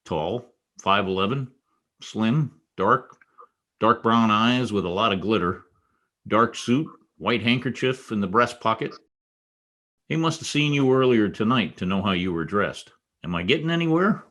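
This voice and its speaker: A man's voice: lowish voice, expressive, measured pace, a bit bright. An American man playing a tough, wry private detective in a 1940s radio noir style, clipped and slangy. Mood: pressing, wry. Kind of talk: 1940s radio noir acting with first-person narration.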